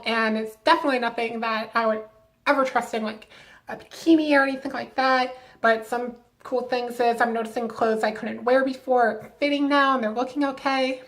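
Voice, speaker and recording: A woman's voice: on the high side, fairly bright tone. A young adult woman vlogging casually to camera, chatty with lots of filler words and friendly advice.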